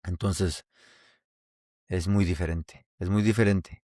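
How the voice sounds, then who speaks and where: A man's voice: low voice, steady tone, takes it slowly, warm tone, no echo, clean studio sound. A man from Mexico with a Mexican accent, hosting a casual solo podcast and talking in a rambling way with many restarts. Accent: Mexican Spanish. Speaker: podcast host.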